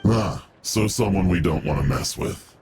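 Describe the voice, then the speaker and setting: A man's voice: deep voice, animated, measured pace, very noisy. A man voicing a stern, guarded underworld agent in a fantasy game, speaking curtly and formally over prominent music. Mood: wary. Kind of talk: scripted game dialogue.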